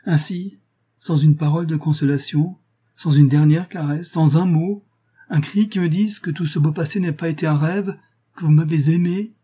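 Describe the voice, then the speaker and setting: A man's voice: medium pitch, normal speed, few pauses, dark tone, slightly rough, natural voice. A man reading a novel aloud as a guilt-ridden young lover, passionate and pleading in an exclamatory way. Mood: desperate reproach.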